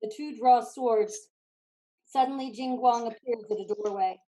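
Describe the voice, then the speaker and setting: A woman's voice: on the high side, normal speed, mellow, poor audio. A woman narrating stage directions in a scripted play reading.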